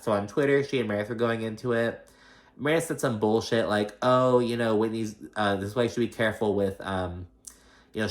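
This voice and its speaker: Low-voiced gossipy man, casual and sweary. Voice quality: slight lisp. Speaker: host of a reality TV recap show.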